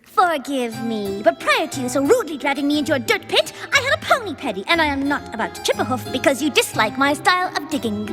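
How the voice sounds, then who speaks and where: A woman's voice: high-pitched, very animated, quick pace. A woman playing a prissy, dramatic young pony who talks like a haughty lady and whines theatrically in a cartoon. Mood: indignant, prim. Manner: haughty, prim and theatrical, with whiny wailing. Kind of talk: animated cartoon acting. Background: music.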